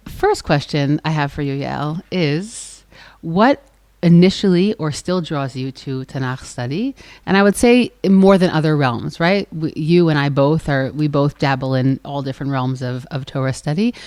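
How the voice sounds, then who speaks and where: Calm-voiced woman hosting a podcast. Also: sits low, no echo. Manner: calm, soft-spoken voice. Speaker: podcast host. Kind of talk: live podcast conversation before an audience. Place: live event before an audience.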